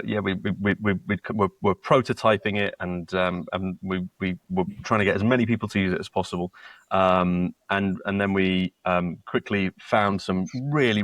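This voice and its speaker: A man's voice: low-pitched, a bit bright, dry recording. A British man, a company co-founder, talking casually in an interview and often repeating words and restarting as he explains. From Britain. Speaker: co-founder and guest.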